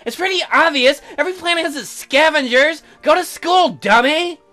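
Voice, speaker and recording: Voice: high-pitched, normal speed, pushed and bright, faint music behind, voice pushed up. A performer voicing an annoyed, bossy young wife in a visual novel, with snappish, sarcastic scolding. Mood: angry.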